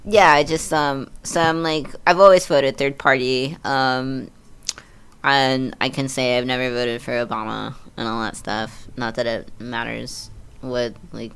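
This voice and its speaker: A woman in her early thirties with an exceptionally low speaking voice and a clean, resonant tone. She talks as a podcast guest in a loose, slangy way, blunt and peppered with profanity. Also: steady tone, unhurried, clean tone. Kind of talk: casual chat.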